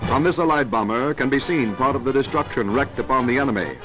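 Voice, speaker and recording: A man's voice: medium pitch, lively intonation, fast, over music, rough recording. An American man narrating a scripted wartime newsreel in a dramatic, declamatory, patriotic manner, on a vintage 1940s soundtrack.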